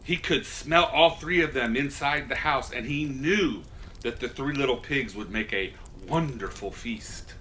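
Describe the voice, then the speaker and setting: A man's voice: medium pitch, a little slow, sharp tone, slight background noise. A man reading a children's story as Santa, in a folksy, theatrical way.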